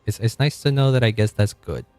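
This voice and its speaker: A man from Argentina streaming casually, rambling, correcting himself and chuckling as he reads chat, with a deep voice. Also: lively intonation, fairly quick, darkish tone, faint music behind.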